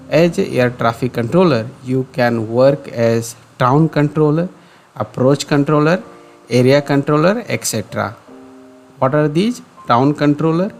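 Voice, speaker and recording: A man's voice: mid-range voice, creaky. A man with an Indian accent presenting career guidance as a scripted explainer, in a didactic way that repeats key points for emphasis.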